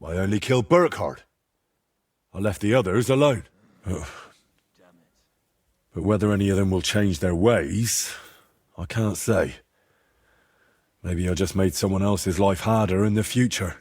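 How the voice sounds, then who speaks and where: A conscientious young fighter in a medieval game. His voice is fairly low and husky. He is plain-spoken, sober and understated. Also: unhurried, pauses often, darkish tone. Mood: sober, reflective. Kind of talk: acted dialogue in a medieval video game.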